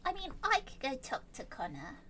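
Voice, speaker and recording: High-voiced, animated woman player. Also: moderate pace. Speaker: tabletop game player.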